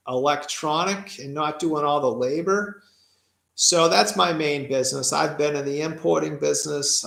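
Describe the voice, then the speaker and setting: Gravelly Boston man, echoey room. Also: on the high side, a bit bright. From Massachusetts, USA. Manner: reads questions aloud and answers off the cuff, with fillers and restarts. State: tired. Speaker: pigeon racer answering viewer questions. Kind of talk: casual live-stream Q&A.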